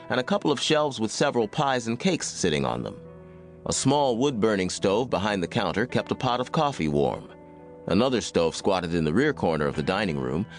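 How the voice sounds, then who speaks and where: A man with an American accent reading scripted narration for a Western audiobook. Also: fairly low pitch.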